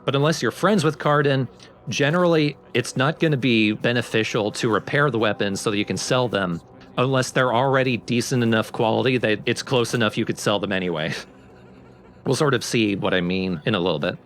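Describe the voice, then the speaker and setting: A man's voice: medium pitch, brisk, a bit bright, a little hiss or hum. A man hosting a let's play with casual, explanatory game commentary.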